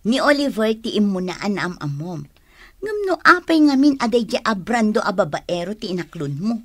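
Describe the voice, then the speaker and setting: A woman's voice: mid-range voice, sing-song, moderate pace, dry recording. A woman playing a giddy young cousin in a radio drama, teasing and full of exclamations. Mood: excited. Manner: exclamatory, playful delivery.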